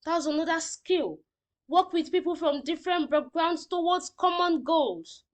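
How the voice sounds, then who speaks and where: Woman, high voice, teacher, didactic lesson delivery, explains simply, checks understanding. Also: a little slow. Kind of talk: lesson reading slide points and explaining simply.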